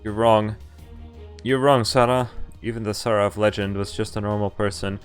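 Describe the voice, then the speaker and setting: A man acts out a young male visual novel hero with a fairly low voice, desperate to protect someone. He speaks urgently and insistently, pleading over background music. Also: little pitch movement, moderate pace, clean tone, natural voice. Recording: music behind the voice.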